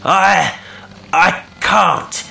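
Man acting a frightened old castaway, voice strained high. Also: creaky, pushed and bright, roomy sound, a little hiss or hum, exaggerated voice. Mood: halting, fearful. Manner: halting, pleading.

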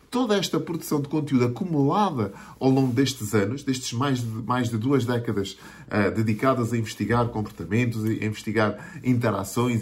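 Earnest Portuguese man in his forties. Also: medium pitch. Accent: European Portuguese. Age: 40s. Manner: earnest, builds points in repeated lists. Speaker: marketing mentor. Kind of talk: motivational talk to camera.

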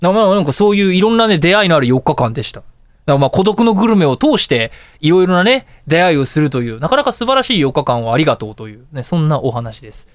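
A man hosting a casual radio talk show, telling anecdotes in an animated way and acting out dialogue and reactions. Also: fairly high pitch, quick pace, no room sound, clean studio sound.

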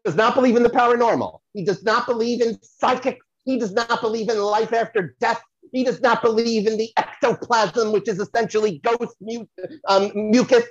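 A man's voice: very high voice, moderate pace, no room sound. Gushy touchy psychic guy a man acting it, rambles and repeats himself, plugs himself, kinda muffled dry audio. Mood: salesy, showy. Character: flamboyant, touchy, self-promoting male celebrity psychic. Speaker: podcast guest. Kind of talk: comedic audio play banter.

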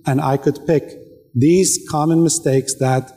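A man's voice: on the high side, fairly even intonation, measured pace, dark tone. A man whose first language is Arabic, debating live, rebutting firmly with short pointed questions. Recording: reverberant hall.

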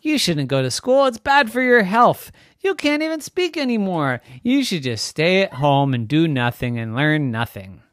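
A man's voice: a little high, very animated, moderate pace, fairly bright tone, no echo, voice pushed up. A jolly storybook father with a raised, bouncy sing-song voice that swoops up and down, as a man puts him on. Close and dry. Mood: playful. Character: playful, loud, easygoing dad.

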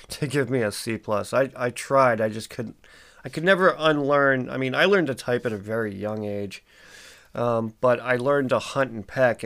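A man's voice: mid-range voice, a bit bright. A man in his forties hosting a solo podcast, sounding congested and stuffy, rambling casually with lots of ums and uhs. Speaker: podcast host.

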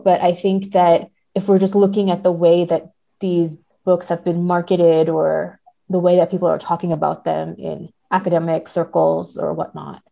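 A woman with a fairly low, gravelly-edged voice discusses books as a guest in conversation. Her tone is dark and relaxed, and she reasons aloud, qualifying what she says as she goes. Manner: hesitant, hedging, thinking aloud, seeking agreement with "right?". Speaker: podcast guest, literature academic.

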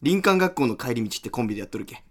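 A man's voice: medium pitch, big pitch swings, very fast, fairly bright tone. A man acting as an overfamiliar young comedian in a radio drama, chatty and pushy, with a western Japanese Hiroshima-style dialect. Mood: cheeky, boastful. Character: brash, overfamiliar young male amateur comedian.

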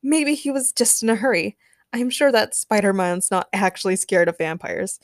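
A woman's voice: normal speed, no echo, clean studio sound, natural voice. A woman voicing a nervous, easily frightened young man in a storybook, with a jittery delivery. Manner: high, jittery, stammering when scared.

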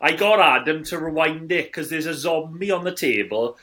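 A man's voice: fairly high pitch, moderate pace, fairly bright tone. A man in his forties co-hosting a casual film review podcast, speaking bluntly with an incredulous, complaining manner. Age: 40s. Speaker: podcast co-host.

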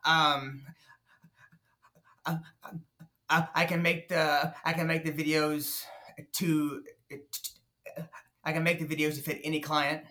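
A man with a fairly high, bright voice, talking as a podcast guest. He stutters and fills his speech with many fillers and restarts. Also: flat delivery, slow, pauses often. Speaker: podcast guest, nonprofit founder. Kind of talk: casual interview talk.

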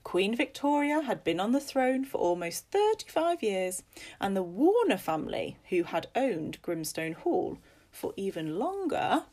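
A woman's voice: medium pitch, big pitch swings, a little slow, darkish tone. A woman voicing a theatrical female guide who tells tales of hauntings with emphatic relish. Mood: dramatic.

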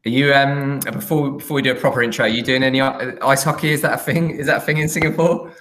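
A man's voice: medium pitch, fairly even intonation, quick pace, a bit bright. A man hosting a podcast interview, speaking casually with lots of fillers and restarts. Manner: hesitant, many fillers and restarts. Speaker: podcast host.

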